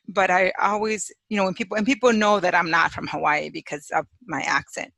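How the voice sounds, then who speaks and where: A woman from Chicago, a psychologist, speaking in a casual interview with frequent laughs and thoughtful pauses. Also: fairly low pitch, steady tone, creaky, dry recording. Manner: warm, laughs often, thoughtful pauses with fillers. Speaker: guest, clinical psychologist and sex therapist. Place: home office in Hawaii.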